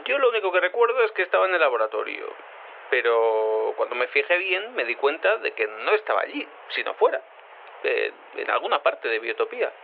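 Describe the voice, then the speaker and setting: A man's voice: a little high, sing-song, a little fast, vocal fry. An actor playing a confused male cat turned human, hesitant and stammering, rambling as an interviewee in an audio drama over a telephone line. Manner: hesitant, stammering, self-contradicting. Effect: telephone filter. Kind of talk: audio fiction acting as an interviewee. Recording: tinny phone line with noise.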